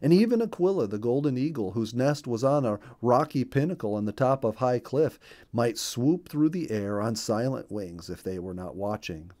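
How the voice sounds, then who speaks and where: Mellow, animated male storyteller. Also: mid-range voice, moderate pace. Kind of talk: children's story narration.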